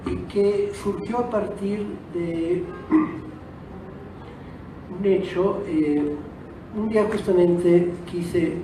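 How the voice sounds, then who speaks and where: A man's voice: sits high, very slow, long pauses. A man whose first language is Italian, giving an unscripted, reflective talk as a guest author, hesitating and rewording as he goes. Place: auditorium at a book fair. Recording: distant echoey auditorium, noisy background.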